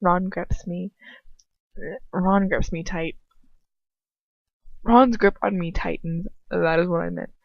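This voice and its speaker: A woman's voice: lowish voice, fairly even intonation, slow pace, gravelly, long pauses, no room sound, lo-fi sound. A woman reading fan fiction aloud like an amateur audiobook, informally, stumbling and correcting herself now and then. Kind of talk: audiobook-style story reading with casual asides.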